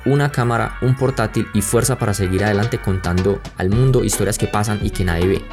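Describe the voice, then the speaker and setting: Colombian guy podcasting, lowish voice, reflective, music under him. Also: brisk. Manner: reflective, conversational, speaks straight to listeners. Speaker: podcast host. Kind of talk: personal storytelling podcast.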